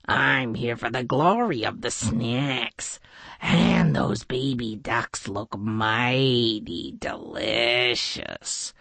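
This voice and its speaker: A woman voicing a greedy, sneaky male animal villain in a children's story, muttering in a gravelly, raspy voice. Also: rumbling low, drawn-out words, fairly bright tone, dry recording, voice pushed down, heavily acted. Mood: sly, hungry. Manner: muttering, snickering, drooling menace. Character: Snout, greedy, sneaky clawed male predator.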